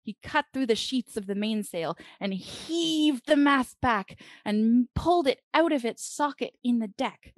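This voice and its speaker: A woman reading a children's storybook aloud dramatically, with deliberate phrasing and expressive pauses. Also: a little high, dry recording.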